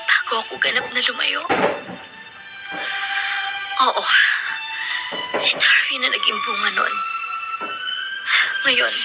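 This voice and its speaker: A woman's voice: on the high side, gravelly, full character voice, rough recording. An actress playing a bitter, proud mother rejecting her old lover in an emotional Filipino radio drama. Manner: emotional, reproachful, melodramatic. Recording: radio drama over loud music.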